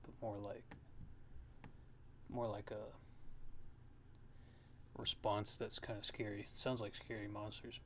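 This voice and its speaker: A man giving a casual software tutorial, thinking aloud in a halting, low-key way with pauses mid-sentence. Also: level tone. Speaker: music production tutorial host. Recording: clear background noise.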